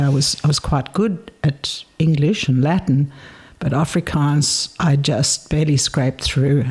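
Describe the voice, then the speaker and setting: Woman, 70s, from South Africa, interviewee, warm mellow voice, reflective, measured pauses. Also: deep voice, husky. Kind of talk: reminiscing in a recorded interview.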